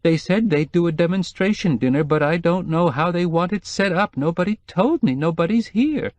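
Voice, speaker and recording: A man's voice: medium pitch, animated, normal speed, darkish tone, no room sound, voice pushed up, close to an everyday voice. An anxious, whimpering housekeeper, as a male narrator puts her on, her voice lifted well above his own and hesitant. She frets and trails off in an audiobook reading, recorded close and dry. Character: nervous timid middle-aged female housekeeper.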